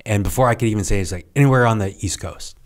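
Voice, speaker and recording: A middle-aged man, a guest on a podcast, telling a story in a halting, rambling way that trails off quietly. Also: on the low side. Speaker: podcast guest, retired Navy serviceman. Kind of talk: casual interview storytelling.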